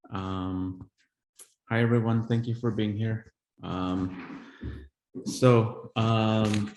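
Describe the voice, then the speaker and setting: A man in his forties from El Salvador, an artist giving a live-streamed talk on his work, who speaks haltingly with many pauses and restarts. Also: lowish voice, a little mellow. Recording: echoey room.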